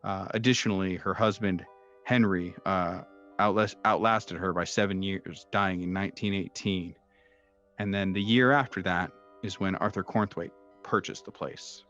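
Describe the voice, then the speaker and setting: Low-pitched man narrating haltingly over music. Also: fairly even intonation, a bit bright. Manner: halting, hesitant delivery with frequent long hesitations. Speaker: game master. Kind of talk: improvised narration of a tabletop horror mystery. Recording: dull lo-fi sound, music behind. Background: background music.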